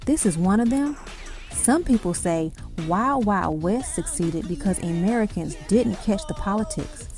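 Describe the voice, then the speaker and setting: A woman's voice: medium pitch, lively intonation, a little slow. A woman reading scripted documentary-style narration for a music countdown, in dramatic, punchy short phrases. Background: music behind the voice.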